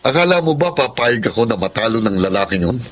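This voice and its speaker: An actor playing a possessive, jealous suitor with a taunting, insistent delivery in a Tagalog radio drama. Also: male voice, mid-range voice, sing-song, fast, background noise, lightly acted. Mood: taunting, aggressive. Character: possessive, jealous, mocking male suitor who bullies and pleads.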